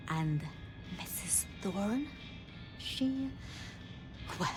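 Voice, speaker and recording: Casting: modest vampire apprentice for a game, halting and unhurried, lively pitch, music underneath. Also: female voice. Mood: self-effacing. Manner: hesitant, self-deprecating, halting. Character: Safia, modest, unsure vampire apprentice woman. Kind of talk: video game dialogue acting. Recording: music behind the voice.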